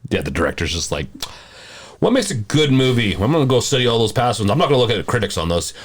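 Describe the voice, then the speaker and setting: A man hosting a podcast in a casual, jokey, animated chat style. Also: mid-range voice, quick pace. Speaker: podcast host.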